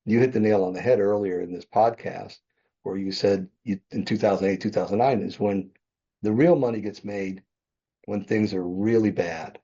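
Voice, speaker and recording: Gravelly-toned older man telling his story. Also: on the low side, steady tone, moderate pace, warm tone. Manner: rambling, self-correcting, chuckles often. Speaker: podcast guest, real estate developer. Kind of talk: conversational interview storytelling. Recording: dull lo-fi podcast audio.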